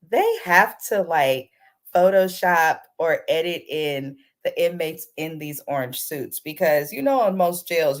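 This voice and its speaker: A woman with a somewhat low voice hosts a chatty TV recap. She sounds under the weather, a little congested and not quite crisp. Her delivery is informal and aimed straight at her viewers. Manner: informal, addresses viewers directly as "you guys". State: under the weather, sore throat with throat clearing. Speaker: host of a TV recap channel. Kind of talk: casual, chatty reality TV recap.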